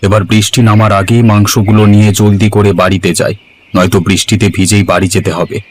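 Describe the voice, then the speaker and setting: A man's voice: on the low side, level tone, fast, a little hiss or hum, close to an everyday voice. A man voicing a haughty, anxious rich village husband in a cartoon story, fussy and exclamatory. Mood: anxious, placating. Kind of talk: Bengali cartoon story voice acting.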